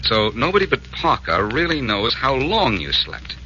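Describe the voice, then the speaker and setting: A man's voice: mid-range voice, very animated, moderate pace, sharp tone, lo-fi sound, a little hiss or hum. A man playing a confident, relentless amateur detective who presses leading questions in a 1940s radio drama on an old recording. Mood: pressing.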